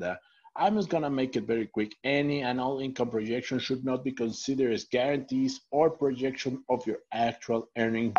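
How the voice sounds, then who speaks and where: A man's voice: mid-range voice, a little mellow, breathy edge, lo-fi sound. A man from Mexico with a Mexican Spanish accent, Spanish his first language, giving an online training talk with many fillers and restarts. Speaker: network marketing trainer.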